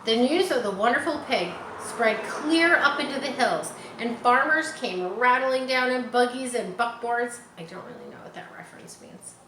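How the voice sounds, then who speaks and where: A woman's voice: fairly high pitch, lively intonation, moderate pace, hardly any pauses. A woman reading a children's book aloud, dropping in informal asides. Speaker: storybook reader. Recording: roomy echo, faint background noise.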